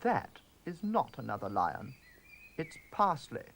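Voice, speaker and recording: A man voicing a prim, fussy elderly lady of the manor in a swooping falsetto. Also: huge pitch swings, unhurried, creaky, a bit bright, heavily acted.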